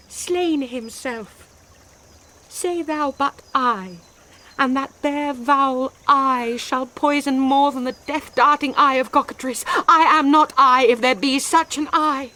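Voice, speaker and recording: An actress with an English accent playing a passionate, impatient young noblewoman in a classical radio drama, speaking breathy, intense verse. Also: high-pitched, slow pace, bright, forceful tone, full character voice. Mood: anguished, demanding. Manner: poetic, breathy, intense declamation. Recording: noisy 1990s radio drama recording.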